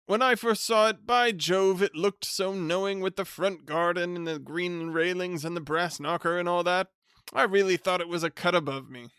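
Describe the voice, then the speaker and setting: A man voicing a loud, hearty middle-aged visitor who repeats himself. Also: high voice, moderate pace, clean tone, no room sound, studio quality, pitched above the performer's own voice. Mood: boisterous.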